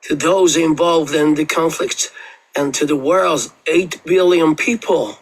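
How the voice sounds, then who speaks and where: A man reading out a prepared statement in a steady, formal way. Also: a little high, unhurried, poor audio. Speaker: reader of a message.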